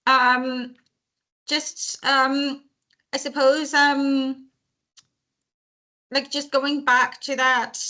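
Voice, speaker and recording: A young woman giving a talk, thoughtful, with many pauses and ums. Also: sits high, pushed and bright. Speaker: speaker on hiring deaf employees. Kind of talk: talk at a panel event.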